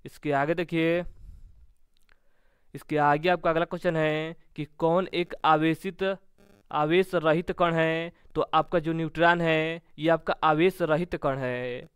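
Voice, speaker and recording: A man's voice: on the high side, steady tone, a little slow, clean tone, dry recording. A man teaching an exam revision lesson, methodically reading out each question and then giving its answer.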